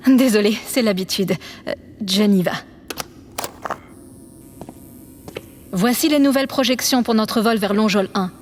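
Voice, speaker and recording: A woman's voice: mid-range voice, fairly quick, natural voice. A woman playing an earnest, polite chief engineer aboard a spaceship in an audio drama, careful and matter-of-fact. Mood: polite, businesslike. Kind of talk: scripted audio drama acting. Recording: clear background noise.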